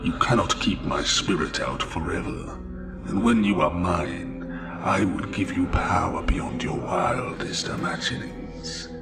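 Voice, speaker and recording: An ominous dark spirit, low and gravelly, commands and seduces in hushed tones. It sounds distant and echoey under loud music, a domineering fantasy villain. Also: male voice, measured pace. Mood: seductive menace.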